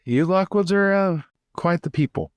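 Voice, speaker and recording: A man playing a tabletop roleplaying game, improvising casually with halting, tentative phrasing. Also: high-pitched, clear, resonant tone, dry recording.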